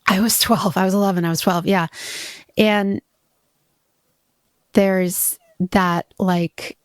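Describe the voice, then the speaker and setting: A woman's voice: mid-range voice, fairly even intonation, slow pace, dry recording, clean studio sound. A woman in her forties from Hawaii, a podcast guest telling her story in a candid, reflective way with frequent restarts. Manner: reflective, many restarts and "you know".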